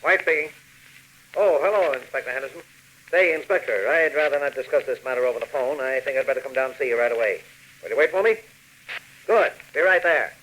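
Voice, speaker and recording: A man playing a blustery, take-charge newspaper editor in 1940s radio acting, brisk and emphatic, on a hissy old recording. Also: mid-range voice, a bit bright, close to an everyday voice, rough recording. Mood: brisk, commanding. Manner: brisk, emphatic, repeats himself.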